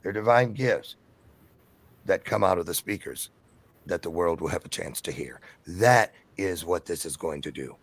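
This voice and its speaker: A man speaking with effusive, animated enthusiasm on a talk show, eager to keep the floor. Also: low voice, moderate pace, breathy edge. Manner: effusive, animated, talks over others.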